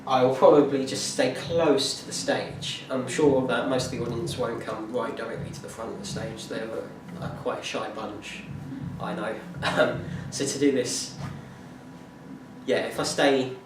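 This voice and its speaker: A man's voice: mid-range voice, fairly even intonation. Young man, echoey distant recording. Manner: halting, many fillers and restarts. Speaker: music student presenting a performance plan. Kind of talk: informal spoken presentation. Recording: echoey room from far off, noisy.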